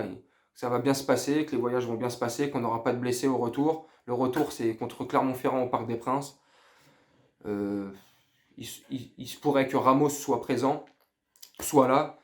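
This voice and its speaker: A middle-aged man records a casual football debrief for his followers. He speaks in loose, slangy phrases, often hesitating and circling back, with a let-down, unenthusiastic manner. His pitch sits in the middle for a man. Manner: informal, slangy, hesitant and rambling; underwhelmed, lacking enthusiasm. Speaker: football fan vlogger. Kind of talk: casual post-match debrief to viewers.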